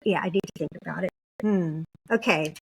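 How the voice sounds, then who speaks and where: A woman guest on a podcast, chatting casually and informally, thinking aloud in halting phrases. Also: on the low side, creaky, breathy edge, poor audio. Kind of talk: casual chat.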